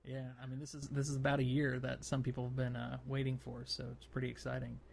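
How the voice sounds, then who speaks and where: Man, creaky mid-pitched voice, casual podcast host, conversational explainer, slight background noise. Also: completely flat delivery, fast talker. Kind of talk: casual gaming podcast discussion.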